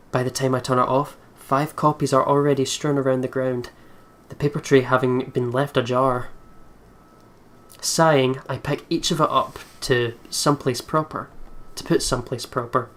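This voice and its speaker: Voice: medium pitch, fairly even intonation, moderate pace. A game video host reading a horror visual novel aloud dramatically, with casual asides.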